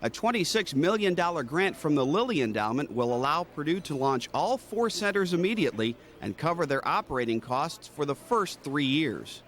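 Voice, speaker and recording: A man's voice: a little high, animated, normal speed, hardly any pauses, fairly bright tone, slight background noise. A man reading scripted news narration as the narrator of an institutional newsreel.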